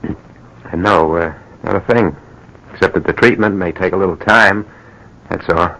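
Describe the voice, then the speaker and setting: An anxious young husband with a lowish but sharp, forceful voice, in vintage radio drama style. He is earnest and devoted, his words urgent and at times halting. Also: heavily acted, rough recording. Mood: evasive, reassuring. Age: 30s. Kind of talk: 1940s radio drama acting. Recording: old radio drama broadcast.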